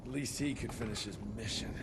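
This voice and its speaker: An actor playing a gruff, sardonic monster hunter of the Old West in a video game cutscene, terse, dry and no-nonsense. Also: male voice, medium pitch, brisk, natural voice. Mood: weary, bitter. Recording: loud ambient noise behind the voice.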